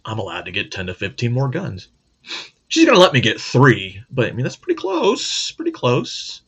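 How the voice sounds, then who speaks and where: A man's voice: mid-range voice, wildly swooping pitch, normal speed, gravelly, a bit bright, husky, highs cut off. A middle-aged man hosting a casual monologue, blunt, profane and joking. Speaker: host.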